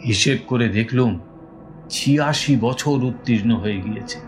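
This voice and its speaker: A man playing a village schoolteacher who recounts his own eerie experience in measured, hesitant audio-story narration. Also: mid-range voice, close to an everyday voice. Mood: pondering. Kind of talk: dramatised first-person audio story narration. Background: music.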